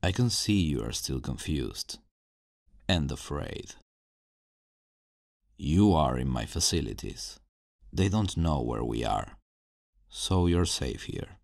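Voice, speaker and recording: Man acting as a very deep, gravelly brooding supervillain. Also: expressive, darkish tone, no room sound, clean studio sound, full character voice. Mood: calm, regretful. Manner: slow, deliberate, sighing, dramatic pauses. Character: brooding male supervillain scientist, menacing yet regretful. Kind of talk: ASMR roleplay, dramatic acting.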